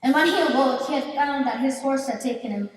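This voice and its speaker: Woman as a high-voiced ghostly storyteller, echoey theater. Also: a little fast, lightly acted. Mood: ominous. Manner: dramatic storytelling. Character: ghostly storyteller narrating a dark folk legend. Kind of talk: scripted theatrical narration. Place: large indoor theater stage, distant camera. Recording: echoey theater stage from far off.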